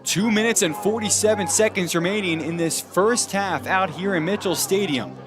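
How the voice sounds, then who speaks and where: A young American man with a fairly high voice works as a football play-by-play announcer. He delivers live commentary on the game, with clear music heard behind him. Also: normal speed.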